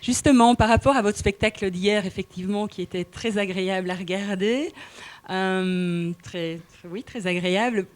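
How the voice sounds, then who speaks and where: A woman interviewing a guest for radio, halting as she searches for words. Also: mid-range voice.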